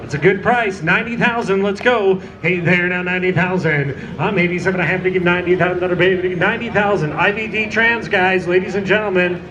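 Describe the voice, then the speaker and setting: A man calling bids at an outdoor farm auction, describing the machine and then chanting numbers fast while coaxing the bidders. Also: high-pitched, noisy background. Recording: muffled loudspeaker over a crowd.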